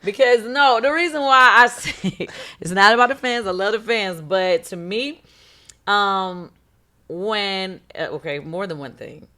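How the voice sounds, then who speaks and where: A hoarse woman hosting a casual talk show, outspoken and blunt in her opinions. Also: medium pitch, unhurried, pushed and bright. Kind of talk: casual gossip chat.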